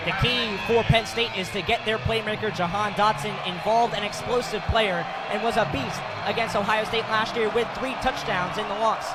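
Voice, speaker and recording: High-pitched young American man calling football. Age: young adult. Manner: clipped, excitable, projects loudly on big plays. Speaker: play-by-play football announcer. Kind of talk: live American football play-by-play commentary. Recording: clear noise, stadium sports broadcast.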